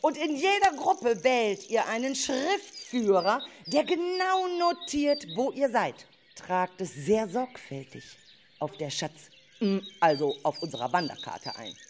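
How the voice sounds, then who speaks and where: Woman acting a careful, very expressive children's guide. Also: a little high, measured pace, a little hiss or hum. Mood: organising, firm. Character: caring, cautious woman guiding schoolchildren on a forest adventure. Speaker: adult leader of a school radio play. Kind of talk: children's radio play acting.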